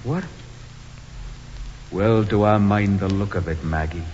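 An actor playing a humourless, ambitious, honourable young Scotsman in a stage drama, speaking in an earnest, stiff, declamatory way with a husky voice. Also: lowish voice, slow pace, darkish tone, a little hiss or hum. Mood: solemn, stolid. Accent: Scottish. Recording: dull, reverberant hall recording.

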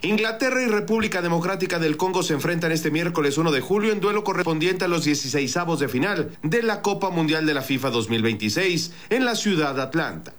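A man's voice: a little high, animated, fairly bright tone, faint music behind. Formal male sports news reader. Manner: measured, formal. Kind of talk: scripted sports news reading.

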